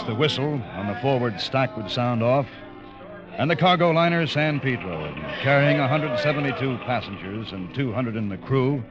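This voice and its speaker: An actor playing a reserved first officer of a ship who narrates a 1940s radio play, formal and terse in dialogue. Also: male voice, medium pitch, lightly acted. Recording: lo-fi vintage radio broadcast with music.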